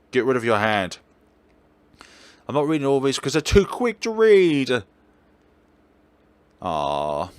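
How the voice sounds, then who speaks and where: A man hosting a let's play, chatting through a visual novel in an animated way. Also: fairly low pitch, no echo.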